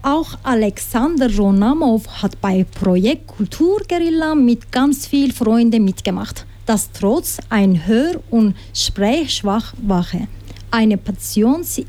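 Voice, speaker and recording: Woman hosting community radio, slow careful delivery, dark mellow voice. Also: a little high. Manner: slow, careful announcing with small slips. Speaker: radio host.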